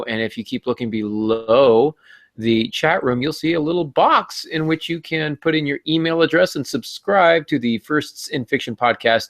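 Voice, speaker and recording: A man's voice: medium pitch, dry recording. A middle-aged man hosting a podcast in a casual, joking, tongue-in-cheek way. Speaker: podcast host.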